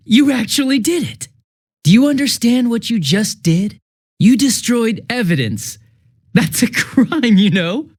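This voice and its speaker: An actor playing a smug, two-faced young police detective in a video game, casual and sarcastic with a taunting, cruel edge. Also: male voice, extremely high pitch, sing-song, measured pace, heavily acted. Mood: manic glee. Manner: casual, sarcastic, taunting, shifting from breezy to menacing. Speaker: police officer.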